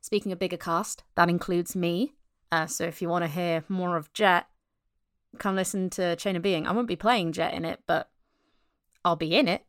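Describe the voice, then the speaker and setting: A woman's voice: lowish voice, fairly even intonation, normal speed, clear, resonant tone, no echo, studio quality. A woman giving a casual, enthusiastic podcast promo in an informal, chatty way. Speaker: podcast promoter, voice actor and director.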